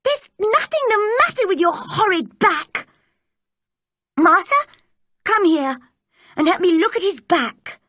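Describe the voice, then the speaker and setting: A woman voicing an angry, bossy, stubborn little girl in a storybook, with a cross, sharp manner. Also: sits high, sing-song, takes it slowly, no room sound, voice pushed up, exaggerated voice. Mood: impatient. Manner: cross, sharp, shouting outbursts.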